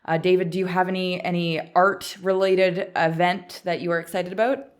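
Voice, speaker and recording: A woman's voice: fairly low pitch, steady tone, moderate pace. A woman with a Canadian accent, chatting casually as a podcast co-host.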